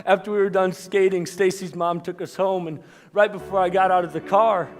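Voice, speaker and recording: A man's voice: high voice, flat delivery, darkish tone, faint music behind, lightly acted. A man playing a shy, self-mocking young man in a church stage monologue, joking about himself and then pleading with strong feeling. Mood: wry, upbeat. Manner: quick self-deprecating jokes that swell into impassioned outbursts.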